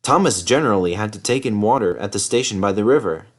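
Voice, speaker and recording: Animated man reading a children's story. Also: lowish voice, fast. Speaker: storybook reader. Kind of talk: scripted children's story narration.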